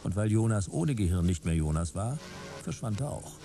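An actor playing a hard-boiled private detective who narrates a sci-fi radio play in terse, wry, dramatic fragments. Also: male voice, on the low side, lively intonation, normal speed, creaky, over music. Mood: dramatic, tense.

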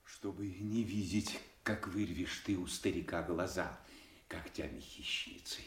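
Slow-spoken anguished old nobleman. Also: deep voice, steady tone. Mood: bitter, rising outrage. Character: old captive nobleman, wronged yet defiant. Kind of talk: classical stage tragedy acting. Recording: echoey radio play studio.

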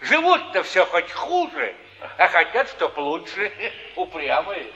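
An actor playing a kindly, sly old wandering pilgrim in a stage drama, folksy and philosophizing. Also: male voice, high-pitched, very animated, slow pace, sharp tone, exaggerated voice. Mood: musing, amused. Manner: folksy, chuckling, philosophizing in sayings. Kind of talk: theatrical stage acting. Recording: dull 1950s-70s stage recording, long hall reverb.

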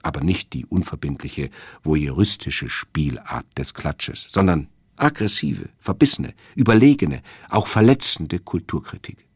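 Deep, husky man presenting a radio essay. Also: animated, measured pace, no room sound, studio quality. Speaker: radio presenter introducing a radio play. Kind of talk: scripted literary radio essay.

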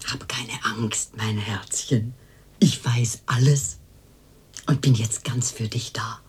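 An older woman playing a cooing, pious, boastful fortune-teller and former madam in a radio drama, with a theatrical, husky delivery. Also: very deep voice, steady tone, takes it slowly, darkish tone, heavily acted. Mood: confiding, pious.

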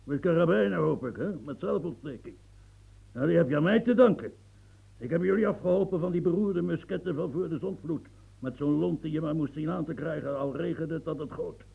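An actor playing a proud, blustering old marshal in a radio play, gravelly and coughing, swinging from mumbles to outbursts. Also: male voice, on the high side, brisk, mellow, exaggerated voice, rough recording. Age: older.

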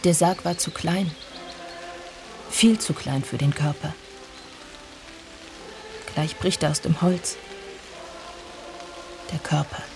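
An actor playing a somber villager who narrates a radio drama in a measured, brooding way. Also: mid-range voice, creaky, a little mellow. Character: somber village narrator recalling an old tragedy. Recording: music bed under the voice. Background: music.

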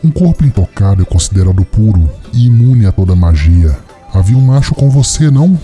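A man's voice: lowish voice, very animated, a little fast, warm tone, faint music behind. A man voicing a majestic giant she-wolf deity in a fantasy audio drama, solemn, formal and commanding.

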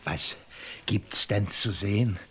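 A man's voice: lowish voice, takes it slowly, a bit bright, husky, heavily acted. A man playing a knight's sly, pragmatic servant, speaking wry, coaxing rhymed verse in an audio drama.

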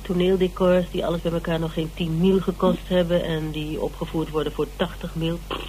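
Musing higher-voiced male investigator in radio drama, acted. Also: flat delivery, fairly quick, a little mellow, a little hiss or hum, close to an everyday voice, poor audio. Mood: pondering, brisk. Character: male lead investigator weighing up evidence, measured, appreciative. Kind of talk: naturalistic Dutch radio drama dialogue.

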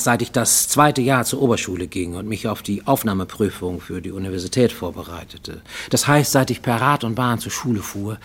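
An actor playing a brooding Japanese man on the run, confessing in a husky, intense radio play monologue that drops to near-whispers. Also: on the low side, heavily acted.

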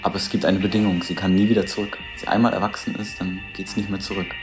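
An actor playing a curious onlooker who describes scenes in simple, tentative remarks, in a conversational radio drama style. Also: male voice, sits low, little pitch movement, quick pace, music in the background, close to an everyday voice. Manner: tentative, puzzled, simple observations. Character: curious male onlooker puzzling out what he watches.

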